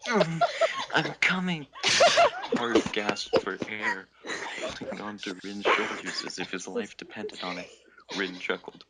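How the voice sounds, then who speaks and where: A man's voice: low-pitched, little pitch movement, measured pace, heavy background noise. A man narrating fanfiction on a podcast in a theatrical, comic dramatic reading, stumbling over the odd word. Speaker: podcast member reading fan fiction.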